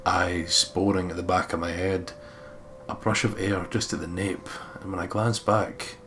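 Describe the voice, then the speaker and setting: A man's voice: sits low, little pitch movement, moderate pace, fairly bright tone, slight background noise. A man hosting a let's play, reading visual novel narration aloud with some casual commentary.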